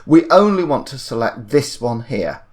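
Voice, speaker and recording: A man narrating an unscripted software tutorial, thinking aloud and correcting himself as he goes. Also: medium pitch, big pitch swings, moderate pace. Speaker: tutorial presenter.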